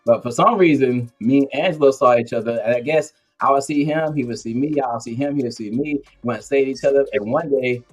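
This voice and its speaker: A man's voice: medium pitch, fairly quick, hardly any pauses, soft background music, rough recording. A man hosting an interview, telling a story in a loose, slangy way and acting out what people said. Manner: animated, slangy, acts out quotes. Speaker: interview host. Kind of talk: casual storytelling chat.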